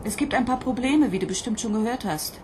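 An actress playing a calm, attentive female psychologist at ground control in a radio play, talking conversationally. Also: fairly high pitch, fairly quick, background noise, lightly acted. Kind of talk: German radio play acting.

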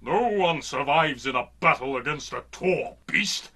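A man's voice: on the high side, lively intonation, unhurried, pushed and bright, husky, full character voice. A man playing a noble, overdramatic winged falcon warrior in a radio drama, with formal, mournful delivery. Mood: solemn, doomful.

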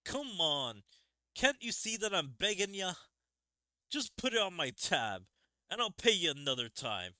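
A man's voice: high voice, big pitch swings, a little slow, sharp tone, dry recording, studio quality, voice pushed up, exaggerated voice. A man voicing a belligerent drunken ruffian from a fantasy game, reading the lines aloud. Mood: pleading, drunk.

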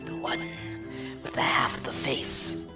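Animated forest guardian female actor: wistful, gentle, hushed, echoing voice over loud music. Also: lightly acted. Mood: gentle, foreboding. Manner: soft, breathy, unhurried storytelling. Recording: roomy echo under loud music.

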